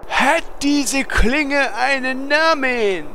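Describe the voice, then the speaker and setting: A man playing a naive, pure-hearted, excitable warrior in a comedic audio drama, earnest and childlike. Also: very high-pitched, extremely slow, slight background noise, full character voice. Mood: awed, earnest.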